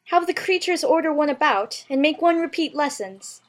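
A woman's voice: sits high, animated, normal speed. A woman playing a polite, puzzled young girl with a proper, earnest delivery in an audiobook. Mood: put upon. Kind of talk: full-cast audiobook character acting.

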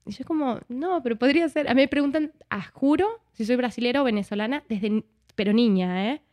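Expressive woman with a higher voice. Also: brisk. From Argentina. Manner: expressive, acts out imagined dialogue as she talks. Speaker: interview guest, actress. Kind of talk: reflective conversational interview.

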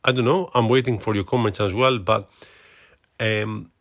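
A man's voice: lowish voice, steady tone, moderate pace, fairly bright tone, dull audio. A man with a Greek accent, hosting a solo podcast and talking with many hesitations and fillers. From Greece. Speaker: podcast host.

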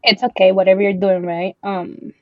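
A woman's voice: mid-range voice, little pitch movement, normal speed, dry recording. Woman in her 20s from el salvador, chatty podcast guest, ums a lot, drops spanish words, muffled dry audio. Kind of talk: casual podcast chat.

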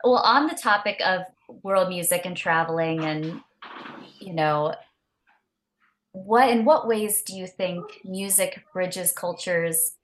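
A woman's voice: lowish voice, takes it slowly, low-quality recording. A woman hosting an interview, asking questions and encouraging her guest with frequent affirmations. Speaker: interviewer host. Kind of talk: conversational interview.

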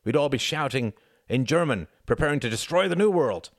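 A man's voice: mid-range voice, lively intonation, a little fast, no room sound, studio quality, pitched above the performer's own voice, close to an everyday voice. A man voicing an earnest, sardonic young working-class man in a storybook, speaking in an emphatic, rhetorical way. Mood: impassioned argument.

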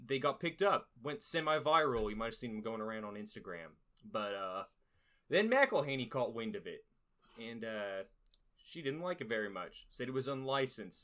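Bright-voiced man rambling through a game pitch. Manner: rambling and laughing a lot, with many fillers. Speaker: player in a tabletop game podcast. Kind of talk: casual chat describing his character.

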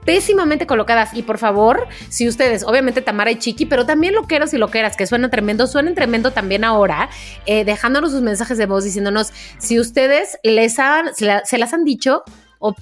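Higher-voiced woman in casual podcast chat. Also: animated, a little fast, soft background music. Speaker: podcast co-host.

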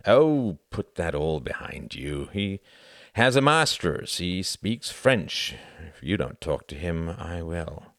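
A man's voice: deep voice, big pitch swings, slow, a bit bright, no room sound, studio quality, heavily acted. A man voicing an insistent, pushy male friend who urges emphatically. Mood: urging.

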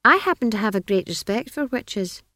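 A pompous old wizard of the storybook kind, as a woman narrator plays him, benevolent and self-satisfied. The pitch rides high and swoops through airy, patronising phrases, recorded close and dry. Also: sing-song, bright, forceful tone, no echo. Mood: genial, patronising. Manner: patronising, unhurried, airy speech.

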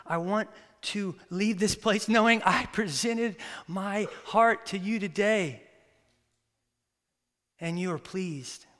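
A man in his thirties, a pastor, preaching a sermon with prayer and lively stories that he acts out. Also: sits high. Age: 30s. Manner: animated, storytelling with acted-out quotes. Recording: long reverb of a church hall.

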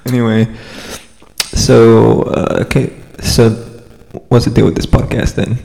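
A man's voice: mid-range voice, little pitch movement, measured pace, vocal fry, mellow, long reverb tail, poor audio. A man in his thirties from California, co-hosting a podcast in a hesitant, casual chat with frequent laughs. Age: 30s. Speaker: podcast co-host.

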